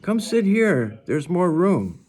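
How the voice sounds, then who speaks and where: Guy doing a friendly little school girl from a book, higher voice, chatty n earnest. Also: very animated, takes it slowly, darkish tone, exaggerated voice. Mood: casual, inviting. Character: friendly, chatty young schoolgirl, eager and matter-of-fact.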